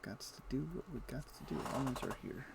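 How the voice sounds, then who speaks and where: A man's voice: mid-range voice, a little fast, creaky. A man streaming a video game, talking half to himself with dry, wry asides as he plays. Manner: muttering to himself while playing, wry asides. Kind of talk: casual live-stream gameplay commentary. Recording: steady background noise.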